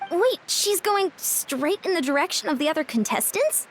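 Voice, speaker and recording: A bossy little game girl, really high voice, lively, faint noise. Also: moderate pace. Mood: surprised. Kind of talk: animated game voice acting.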